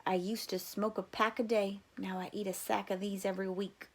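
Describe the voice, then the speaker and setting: A woman's voice: medium pitch, level tone, moderate pace, lightly acted. A woman voicing a laid-back man in a cowboy hat in a storybook, matter-of-fact.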